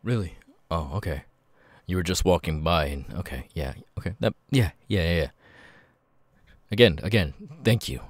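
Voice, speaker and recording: A man playing a nervous, grateful young outcast with vocal tics in an ASMR roleplay, speaking haltingly and repeating words. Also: animated, no room sound. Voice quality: very deep voice with stuttering, sudden high-pitched tic outbursts.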